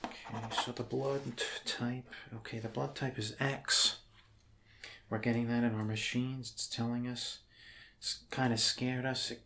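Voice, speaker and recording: A man performs an ASMR roleplay as an unconventional, awkward doctor. He talks softly at a middling pitch, in a rambling, hesitant way with frequent apology and reassurance. Faint background noise sits behind the voice. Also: vocal fry. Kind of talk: ASMR roleplay, improvised soft talk.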